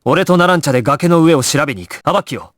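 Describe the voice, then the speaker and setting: A man's voice: on the high side, lively intonation, rapid-fire, a bit bright, no room sound, studio quality. A male actor playing a resolute, composed young gangster squad leader in an anime video game, terse and authoritative in his clipped orders. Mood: firm, commanding. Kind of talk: Japanese anime game voice acting, dramatic.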